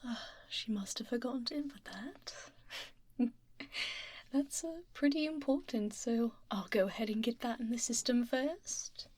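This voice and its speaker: A courteous female doctor played by a woman in an ASMR roleplay speaks in a breathy, higher-pitched half-whisper. She takes her time, reassuring and professional, murmuring close to the microphone. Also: a little mellow, no room sound. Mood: calm, reassuring. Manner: unhurried, reassuring, murmuring little acknowledgements. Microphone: close binaural ear microphone.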